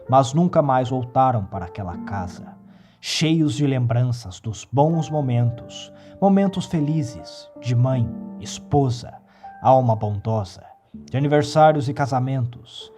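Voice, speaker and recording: A man narrating a horror story in a measured, dramatic reading. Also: medium pitch, mellow. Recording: faint music behind the voice.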